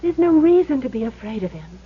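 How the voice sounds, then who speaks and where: An actress playing a devoted, emotional gambler's sweetheart in an old-time radio drama, earnest and emphatic. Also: female voice, high voice, big pitch swings, fairly quick, a little hiss or hum. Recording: tinny, narrow-band 1970s radio recording.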